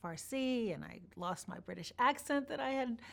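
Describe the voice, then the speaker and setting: A woman in an interview, telling personal stories in a measured, thoughtful way with pauses. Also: on the high side, expressive, creaky. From born in Iran, grew up partly in Chicago. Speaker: interview guest. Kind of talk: reflective personal storytelling.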